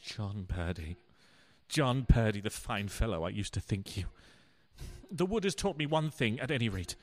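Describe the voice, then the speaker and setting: An actor playing a bumbling, self-dramatizing husband in a radio play, stammering and florid. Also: male voice, lowish voice, expressive, moderate pace, close to an everyday voice. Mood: rueful.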